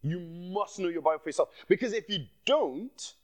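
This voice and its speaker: Man, from Nigeria, guest preacher, sermon with testimony, passionate, repeats himself, fairly high voice. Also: lively intonation, moderate pace.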